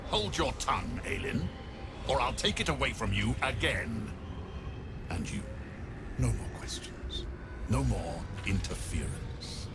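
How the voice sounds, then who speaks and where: An actor playing a menacing, mocking necromancer villain in a video game, with a theatrical, threatening delivery. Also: male voice, medium pitch, sing-song, a little slow, vocal fry, long pauses, heavy background noise. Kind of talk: video game character acting.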